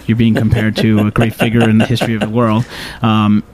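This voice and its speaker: A man's voice: lowish voice, fairly even intonation, moderate pace, darkish tone, low-quality recording. A man hosting a radio talk show in a casual, conversational style.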